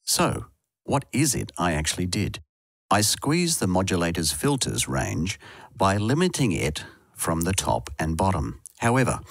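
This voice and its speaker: Low-voiced man narrating a dry software tutorial. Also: gravelly, no echo. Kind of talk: scripted instructional narration.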